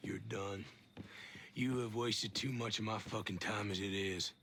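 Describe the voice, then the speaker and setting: An actor playing a harsh, scolding military superior in a video game cutscene, with a husky, gravelly voice. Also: male voice, fairly low pitch, fairly even intonation, a little slow, bright, forceful tone, full character voice. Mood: angry, contemptuous. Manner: confrontational, scolding. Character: harsh, contemptuous, foul-mouthed military superior.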